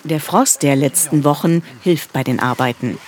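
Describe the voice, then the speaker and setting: A man reading a scripted news report voice-over in a calm, measured way. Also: on the high side, a little hiss or hum.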